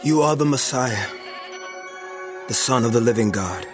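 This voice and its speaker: A man's voice: mid-range voice, a little slow, music in the background, natural voice. An actor playing a fervent, impulsive male disciple in a dramatized scripture recording, with emphatic delivery. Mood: fervent conviction. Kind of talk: dramatized scripture audio acting.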